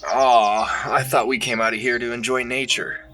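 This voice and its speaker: A man acting as a playful boyfriend out hiking, with a bright, forward voice and casual banter. He is affectionate and spooks easily, audio-drama style, with faint music behind him. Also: medium pitch, flat delivery, normal speed, rough recording.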